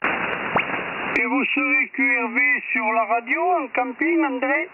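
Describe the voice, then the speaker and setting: Older man, amateur radio enthusiast, high-pitched and unhurried, polite, heard over narrow noisy shortwave. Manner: courteous, spells callsigns phonetically. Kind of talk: casual ham radio exchange.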